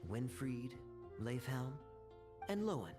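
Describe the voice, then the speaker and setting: A composed, worldly knight captain in a video game. The voice is low with lively swings, smooth-talking and wry, always faintly teasing. Game music is clearly heard behind it. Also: female voice, slow pace, full character voice. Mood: courteous. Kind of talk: English video game voice acting.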